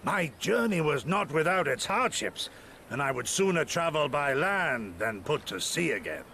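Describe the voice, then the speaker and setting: A proud, hardy sea voyager from a fantasy game. His voice is bright and forceful with a gravelly edge, sitting fairly high for a man. He declaims in grand, archaic phrases over a faintly noisy background. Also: big pitch swings, normal speed, exaggerated voice. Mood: proud, boastful. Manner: grandiloquent, theatrical, old-fashioned formal speech. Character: archaic-speaking proud, hardy foreign seafaring traveler man.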